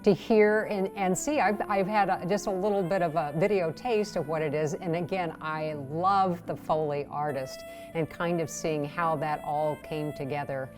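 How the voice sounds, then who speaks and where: A woman's voice: low voice, fairly even intonation, moderate pace, music in the background. A woman hosting an interview in a conversational broadcast style, introducing and questioning her guest. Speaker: interview host.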